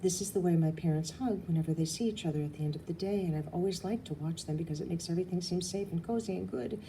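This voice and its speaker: Woman reading a kids book out loud, soft dark tone, dramatic, faint noise in the background. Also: lowish voice, quick pace, rough recording. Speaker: audiobook reader. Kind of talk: expressive storybook narration, first person as a girl.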